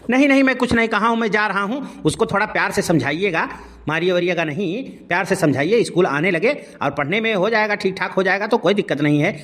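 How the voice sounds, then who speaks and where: A man's voice: high-pitched, fast, fairly bright tone. A man voicing a stern but easily cowed village schoolteacher in a comic cartoon, complaining and admonishing. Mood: placating.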